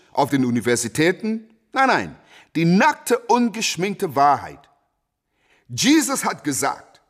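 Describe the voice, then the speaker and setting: An actor in a German radio play voicing a proud, fiery young boxer who preaches emphatically with rhetorical repetition. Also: male voice, on the high side, a bit bright, exaggerated voice.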